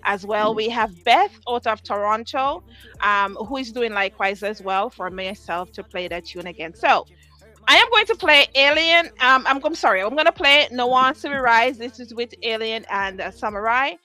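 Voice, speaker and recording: Bright, high-voiced woman hosting a music show. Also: normal speed. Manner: effusive, encouraging, repeats phrases for emphasis. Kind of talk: interview and motivational talk to a live audience. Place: music studio.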